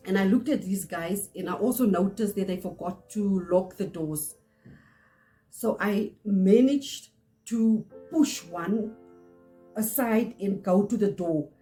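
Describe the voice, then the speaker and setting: A middle-aged woman from South Africa, Afrikaans-speaking, with a South African accent, telling a personal story in earnest, pausing often. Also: mid-range voice, warm tone. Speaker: channel host. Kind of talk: personal testimony to camera.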